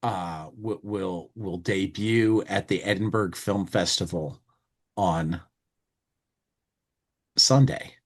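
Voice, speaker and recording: A man hosting a podcast in casual chat, rambling and halting, often restarting his phrases. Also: deep voice. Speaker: podcast host.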